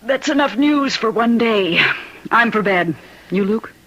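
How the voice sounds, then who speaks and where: An actress playing an anxious, devoted wife in an old radio drama, measured and earnest. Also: female voice, fairly high pitch, expressive, muffled recording, noisy background. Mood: concerned. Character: anxious, devoted wife confronting her husband.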